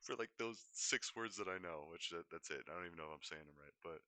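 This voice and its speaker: A man's voice: low-pitched, fast talker, sharp tone, no room sound. A man livestreaming in a rambling, unscripted way, often hesitating, digressing and correcting himself mid-thought. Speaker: livestream host.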